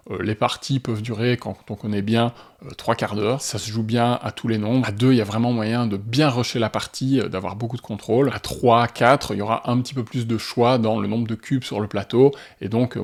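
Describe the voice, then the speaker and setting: Gravelly-voiced man reviewing games. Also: mid-range voice, a little mellow. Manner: enthusiastic, frequent "euh" fillers. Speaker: board game channel host. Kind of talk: casual review monologue to camera.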